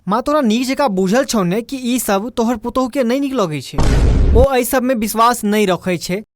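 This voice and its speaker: A woman's voice: a little high, animated, moderate pace, background noise, natural voice. A woman voicing a worried grown son and husband in a cartoon, questioning and protesting, with a Maithili accent. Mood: reproving. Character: worried, reasoning adult son and farmer husband. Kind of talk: Indian cartoon dialogue.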